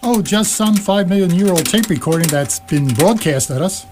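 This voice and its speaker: A woman's voice: lowish voice, expressive, moderate pace, a little mellow, natural voice. A woman playing a breezy, casual and unhelpful spaceship computer in comic radio theatre. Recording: noisy live stage recording.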